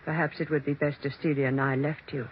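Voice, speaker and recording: On a dull, faintly noisy 1940s radio recording, an actress plays an insinuating middle-aged woman. Her delivery is grave, measured and insistent, as in a suspense drama. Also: deep voice, little pitch movement, lightly acted. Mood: solemn, insistent.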